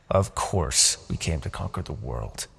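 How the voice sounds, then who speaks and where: A man playing a proud, vengeful demon king in a fantasy roleplay, speaking with a gravelly, husky voice and a theatrical, deliberate, imperious manner. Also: a bass voice, a bit bright, full character voice. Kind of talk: fantasy ASMR roleplay monologue.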